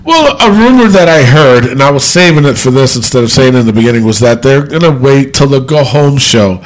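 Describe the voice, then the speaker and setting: A man's voice: mid-range voice, brisk, rough recording. A man hosting a casual wrestling chat with friends. He has a stuffy nose, swears and laughs a lot. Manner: animated, laughs often, swears. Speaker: podcast host.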